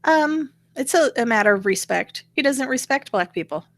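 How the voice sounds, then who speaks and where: A woman hosting a casual talk show, chatting in a halting, wandering way. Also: sits high, expressive, dry recording. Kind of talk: casual conversational commentary.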